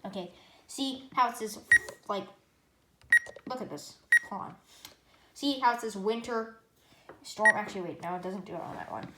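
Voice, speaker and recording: A woman giving a casual, unscripted gadget demonstration in a rambling, halting way, often correcting herself. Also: medium pitch. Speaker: product reviewer. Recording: echoey room, loud background noise.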